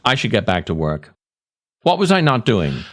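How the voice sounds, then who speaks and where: Low-voiced man, hugely expressive comic monologue. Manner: wry, deliberate, dramatic pauses. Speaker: podcast host, writer and actor reading his own work. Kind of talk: scripted comic monologue, theatrical narration.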